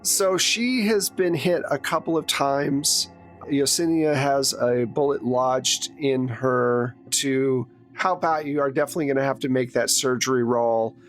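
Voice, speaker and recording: A man's voice: mid-range voice, a little slow, soft background music. A man running a tabletop roleplaying game, narrating in a conversational, improvised way. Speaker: game master.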